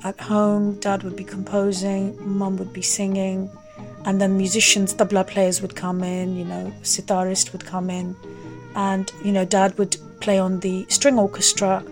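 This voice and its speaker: A woman's voice: medium pitch, flat delivery, measured pace, a little mellow. A woman telling personal memories conversationally, leaning on key words as she goes. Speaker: interview guest, singer. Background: music.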